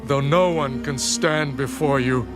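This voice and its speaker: An actor playing a penitent Hebrew priest at prayer in a dramatised scripture reading, pleading solemnly in measured phrases. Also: male voice, mid-range voice, steady tone, close to an everyday voice. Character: penitent male Hebrew priest praying in anguish. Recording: music behind the voice.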